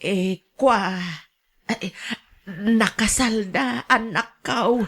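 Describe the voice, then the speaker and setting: A woman plays an elderly Ilocano mother in a radio drama. Her voice is high and she speaks very slowly. She pleads meekly and evasively, stumbling over her words as she wheedles. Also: fairly bright tone, heavily acted. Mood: contrite, pleading. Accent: Filipino, Ilocano. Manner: hesitant, stammering, wheedling.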